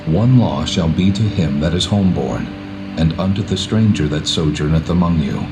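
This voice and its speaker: A man voicing a solemn, commanding God laying down law in measured, authoritative words, in a dramatised scripture reading. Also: low-pitched, expressive, rough recording. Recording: music behind the voice.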